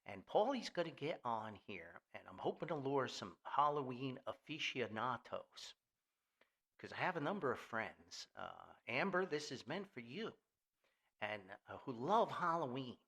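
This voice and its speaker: A man's voice: mid-range voice, big pitch swings, measured pace, a bit bright, husky. An older man hosting a casual, rambling podcast in a folksy, jokey manner. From Paterson, New Jersey, US. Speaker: podcast host.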